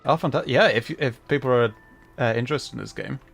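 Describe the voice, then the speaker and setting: A man's voice: mid-range voice, fairly quick, creaky, fairly bright tone, slightly rough, soft background music. A man livestreaming a video game with casual commentary, thinking aloud in an easygoing way.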